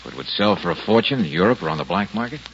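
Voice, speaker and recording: An actor playing a confident, wry private detective in a 1950s radio drama, with a brisk, wisecracking, self-assured delivery. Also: male voice, sits low, big pitch swings, a bit bright, exaggerated voice. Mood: wry, explaining. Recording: dull, noisy old radio recording.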